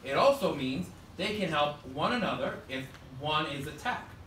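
A man in his thirties teaching third graders with call-and-response, animated and projecting to the class. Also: fairly high pitch, moderate pace. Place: elementary school classroom, indoors. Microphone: far camera at the back of the room. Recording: roomy, noisy classroom, distant camera mic.

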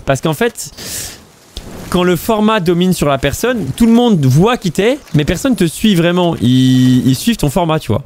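A man's voice: high-pitched, lively intonation, normal speed, darkish tone, a little hiss or hum. A man hosting a stream, chatting casually while he plays a game, rambling with repeated words and fillers and cursing freely. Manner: swears casually. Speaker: streamer host. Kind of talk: casual chat while gaming.

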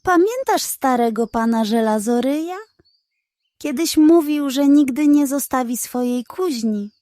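A woman's voice: high-pitched, a little slow, warm tone, no room sound. A woman voicing a gossipy, frightened female squirrel in a children's bedtime story. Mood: hushed gossip. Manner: whispery gossip, tearful exclaiming.

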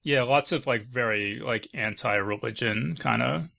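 A man with a gravelly, creaky voice of average pitch hosts a relaxed podcast panel. He talks informally and hesitantly. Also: a little slow. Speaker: podcast host. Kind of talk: casual panel discussion.

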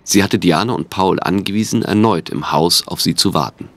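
A man's voice: deep voice, normal speed, a bit bright, no room sound. A man reading scripted narration for an audio drama.

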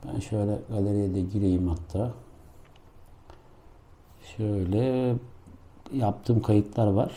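A man's voice: fairly low pitch, fairly even intonation, takes it slowly, pauses often, dark tone. A man doing a casual hands-on product review, explaining things step by step in an informal, improvised way. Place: indoor room.

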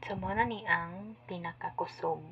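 A woman murmurs through a casual microphone check at home. She sounds distant from the phone. The sound is thin and phone-like, with loud noise behind her. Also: fairly low pitch, fairly even intonation, moderate pace. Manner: quiet, offhand mic testing.

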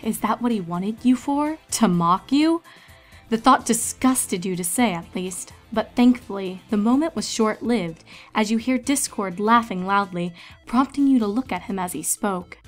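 A woman's voice: medium pitch, unhurried, faint music behind. A woman narrator reading a story aloud in a scripted, dramatic storytelling style.

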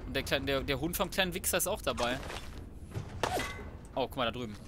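A man's voice: mid-range voice, expressive, fairly quick, heavy background noise. A man giving casual, slangy, cocky let's play commentary, often muttering to himself. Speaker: let's player. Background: video game music and sounds.

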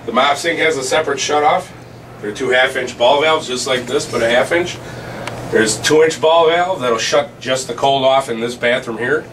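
Plain-spoken plumber, distant noisy camera mic. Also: male voice, medium pitch, normal speed, fairly bright tone. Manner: casual, plain-spoken, explaining step by step. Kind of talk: walkthrough training demo. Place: utility rooms of a commercial building, indoors. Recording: distant camera mic with air conditioning noise.

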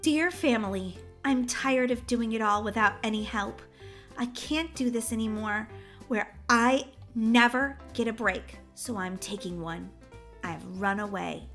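A woman's voice: a little high, measured pace, fairly bright tone, faint music behind. A woman voicing an overworked, exasperated mother in a children's game roleplay, with an exaggerated, flustered delivery. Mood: weary. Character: overworked, exasperated, long-suffering mother of a family.

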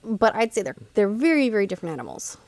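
A woman's voice: medium pitch, very animated, quick pace, a little mellow, no room sound. A woman in her thirties co-hosting a gaming podcast, chatting informally into a studio microphone. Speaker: podcast host. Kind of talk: casual gaming chat. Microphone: close studio microphone on desk.

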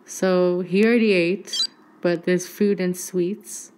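A woman's voice: fairly low pitch, slow, background noise. A woman in her thirties chatting casually on her vlog with a stuffy nose, talking into a phone camera at arm's length in a small bedroom. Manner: chatty and excitable, laughs often. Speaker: YouTuber.

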